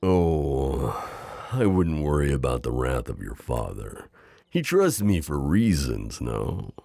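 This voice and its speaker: Possessive knight and mentor, acted by a man: courtly, gently hesitant, gravelly, recorded very dry and close. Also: a bass voice, very animated, takes it slowly, dry recording, exaggerated voice. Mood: reassuring, coaxing. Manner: formal, courtly, tender, hesitant. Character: protective, possessive gallant knight, mentor to a princess. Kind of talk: intimate roleplay audio acting.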